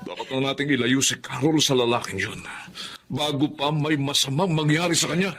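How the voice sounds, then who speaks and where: A man's voice: medium pitch, brisk, a bit bright, lightly acted. A man acting a strict, protective middle-aged father with a Filipino accent in a radio drama, speaking sternly and emphatically. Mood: angry, reproachful.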